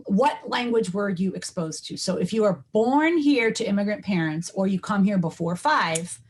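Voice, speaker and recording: A woman's voice: mid-range voice, moderate pace, slight background noise. A woman linguistics professor answering interview questions in a firm, lecturing way.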